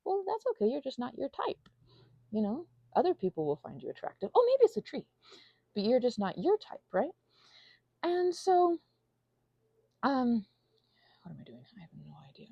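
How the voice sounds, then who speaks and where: A woman's voice: a little high, wildly swooping pitch, moderate pace, gravelly, pauses often, warm tone, husky, no room sound, highs cut off. A woman giving a scattered, playful, self-deprecating improvised ramble, trailing off into tangents and asides and sometimes dropping to a near whisper. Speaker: audio channel creator. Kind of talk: improvised stream-of-consciousness ramble while finger painting.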